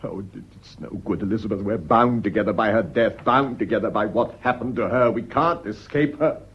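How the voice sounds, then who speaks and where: A man's voice: lowish voice, a little fast, slightly rough. A man playing an anxious, cornered British schoolmaster in a radio drama, intense and theatrical. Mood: desperate, urgent. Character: anxious, cornered schoolmaster, bitter secret lover under suspicion. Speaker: actor in a radio play. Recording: dull, band-limited radio drama recording.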